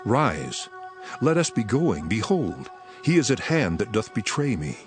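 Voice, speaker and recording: An actor playing a solemn, sorrowful holy teacher with authority, speaking in measured declamation in a scripture audio drama. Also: male voice, fairly low pitch, big pitch swings. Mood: grave resignation. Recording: background music under the voice.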